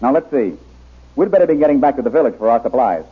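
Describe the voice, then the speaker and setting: An actor playing a brave, plain-spoken jungle hero in a radio adventure serial, earnest, courteous and steady. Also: male voice, medium pitch, fast talker, slightly rough. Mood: steady, easygoing. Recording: muffled old radio broadcast recording.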